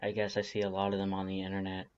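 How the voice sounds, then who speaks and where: A man voicing a laid-back, sly skeleton monster in an audio story, murmuring in a deadpan way. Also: racing, lo-fi sound, close to an everyday voice. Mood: quiet, wry. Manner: low-key, deadpan, murmuring.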